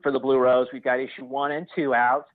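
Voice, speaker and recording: A man speaking as a podcast guest in casual analysis, hesitantly thinking out loud as he goes. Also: mid-range voice, level tone, fairly quick, dry recording, phone-quality sound.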